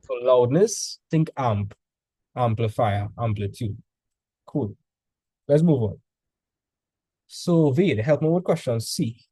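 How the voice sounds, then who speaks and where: A man's voice: mid-range voice, lively intonation, takes it slowly, long pauses, dark tone, slightly rough, no echo. A male teacher tutoring students in a casual session, full of "like" fillers, quizzing them with questions as he goes.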